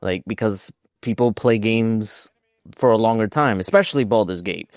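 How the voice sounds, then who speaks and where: A man's voice: on the low side, normal speed, no echo, clean studio sound. A man co-hosting a podcast, chatting informally about video games in a self-deprecating way. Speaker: podcast co-host.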